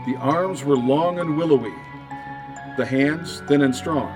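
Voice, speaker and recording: A man's voice: on the high side, unhurried. Male narrator, chiming music behind, scripted radio-drama storytelling. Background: bell-like chime music.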